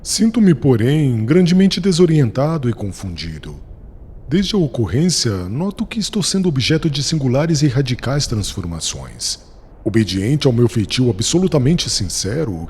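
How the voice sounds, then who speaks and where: A man's voice: medium pitch, a little mellow, husky. A man voicing an anguished young disciple, reverberant. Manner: pleading, emotional. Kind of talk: audiobook character reading. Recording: long hall reverb.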